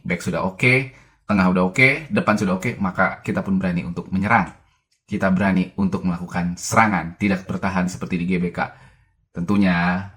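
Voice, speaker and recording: A man with a very low, deep voice talks through football news in a relaxed, chatty way. He sounds enthusiastic and keeps asking rhetorical questions. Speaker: commentator narrating a football video. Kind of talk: casual video commentary.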